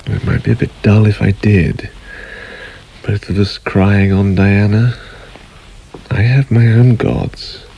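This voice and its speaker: An actor playing a patient, gently teasing husband in a radio drama, languid and wry. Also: male voice, on the low side, fairly even intonation, takes it slowly, vocal fry, pauses often, a little hiss or hum, poor audio. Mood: sleepy, teasing.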